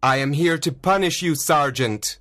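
A man voicing a courteous young nobleman and masked hero in a read-aloud story, calm and polite. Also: fairly high pitch, moderate pace, voice pushed up. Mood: bold.

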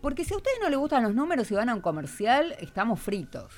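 A woman's voice: fairly high pitch, wildly swooping pitch, fast talker, darkish tone, soft background music. A middle-aged woman with a Buenos Aires accent, interviewing on the radio in an informal, didactic, advice-giving way. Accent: Buenos Aires Argentine Spanish. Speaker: radio host.

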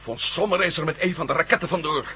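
A man's voice: a little high, quick pace, pushed and bright, breathy edge, big-room sound, heavily acted. An actor playing a brave male adventurer in an old radio play, urgent and commanding.

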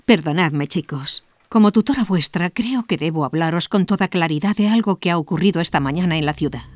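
An actress playing a schoolteacher who speaks gravely and carefully to her pupils in a radio drama. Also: fairly low pitch, dry recording, natural voice. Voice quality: grave, measured. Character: earnest female schoolteacher, serious and troubled. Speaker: teacher.

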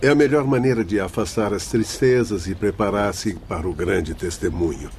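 A man's voice: fairly low pitch, normal speed, darkish tone, lightly acted. An actor playing a kindly male spirit doctor in a radio drama, formal and encouraging. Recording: faint background noise and ambience.

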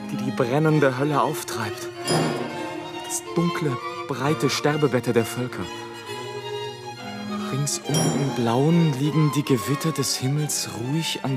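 An actor playing an anguished, visionary balloonist in a literary radio play, declaiming a solemn dramatic monologue. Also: male voice, mid-range voice, natural voice. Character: anguished, visionary balloonist narrating war below, bitter and rapturous.

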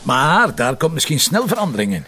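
Wry confident flemish detective in a radio play, voice a bit high. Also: male voice, big pitch swings, a little fast, pushed and bright. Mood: sure, pressing. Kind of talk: radio drama dialogue.

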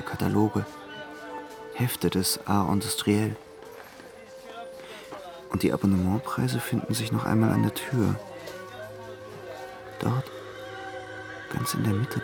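A yearning, brooding young man from the provinces in a radio play. His voice has a gravelly creak, and music runs underneath. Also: on the low side. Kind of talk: German radio drama acting.

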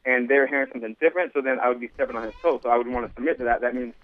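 A man's voice: medium pitch, fairly even intonation, racing. A man calling in to a radio show by phone, chatting earnestly and deferentially in loose, colloquial phrasing. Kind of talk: casual earnest chat. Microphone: phone call. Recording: tinny narrowband phone line.

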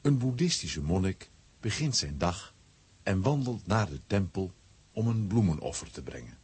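A man narrates a children's story in a very low voice. It is strikingly husky, and the pitch moves in lively swings. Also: moderate pace. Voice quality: very husky, rough.

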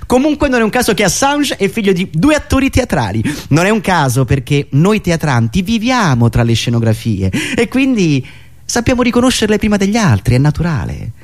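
A man delivering a theatrical political monologue in a dramatic, emphatic manner, with deliberate pauses. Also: high-pitched, big pitch swings. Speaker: satirical stage performer and radio host.

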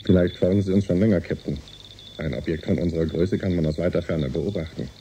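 A man's voice: low-pitched, quick pace, mellow, slight background noise. An actor in a German radio drama playing a dutiful spaceship engineer reporting to his captain in clipped phrases. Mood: businesslike. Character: uneasy spaceship engineer and navigation officer, subordinate.

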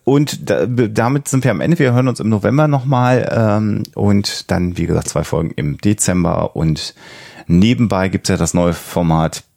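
A man speaks as a podcast co-host in a very deep register with plenty of vocal fry. His delivery is informal and directed at the listeners. The studio sound is very dry. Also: normal speed, darkish tone, no echo. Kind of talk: casual podcast chat with listener announcements. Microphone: headset.

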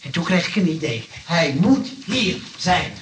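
A huffy, insistent male neighbour in a radio play. His voice is fairly high and very bright, blustering with suspicion over a faintly noisy old recording. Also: very animated, a little slow, far from the mic. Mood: angry, accusing. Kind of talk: radio comedy acting.

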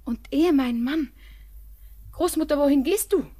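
A young woman playing an anxious, devoted bride in a German radio drama, breathy and often near a whisper, with tender, fervent, poetic delivery. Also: high voice, unhurried, mellow. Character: anxious, devoted young bride keeping watch over her beloved.

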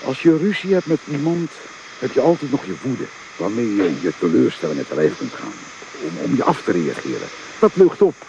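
A man's voice: medium pitch, sing-song, brisk, gravelly, dark tone, a little hiss or hum, rough recording. An actor playing an earnest, protective middle-aged father in a radio drama, speaking in a solemn, paternal way. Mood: earnest, urging.

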